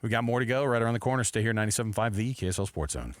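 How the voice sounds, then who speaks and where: An American man hosting live sports talk radio, casual, animated and slangy, on a close studio microphone. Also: mid-range voice, rapid-fire, very noisy. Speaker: sports radio show host.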